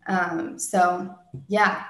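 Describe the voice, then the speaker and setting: A woman, a singer-songwriter, answering interview questions in a soft soprano voice, thoughtful, with many ums and restarts. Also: on the low side, flat delivery, drawn-out words, roomy sound, poor audio.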